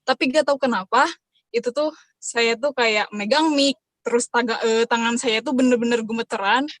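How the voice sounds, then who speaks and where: A woman's voice: a little high, fairly even intonation, normal speed, a bit bright, dry recording. Hesitant young woman on a video call. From West Java, Indonesia. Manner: hesitant, with many fillers and a light laugh. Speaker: participant asking a question.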